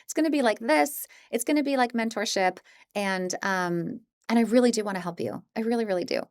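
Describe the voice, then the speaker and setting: A woman's voice: fairly high pitch, a little fast, darkish tone, no room sound, clean studio sound. A woman presenting a course in a casual, conversational style, with an informal, encouraging and self-deprecating manner. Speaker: audiobook narrator teaching an introductory course.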